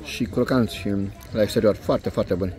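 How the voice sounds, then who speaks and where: A man doing a casual food review vlog in short, unhurried, appreciative phrases, recorded outdoors on a handheld phone. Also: on the low side, a little mellow. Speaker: food vlogger. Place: outdoor restaurant terrace by a lake.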